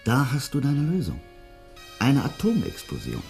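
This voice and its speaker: An actor playing an earnest, driven scientific investigator in a German radio play, with an intense and deliberate dramatic delivery. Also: male voice, medium pitch, wildly swooping pitch, creaky, darkish tone, soft background music. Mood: grave, intense. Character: earnest, driven male scientific investigator uncovering a dark secret.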